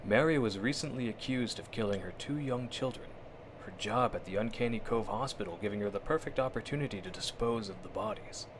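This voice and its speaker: A man with a low voice narrates a horror audio drama with deliberate, suspenseful delivery. He tells it in the second person like an old radio storyteller, over a clearly noisy bed of ambience.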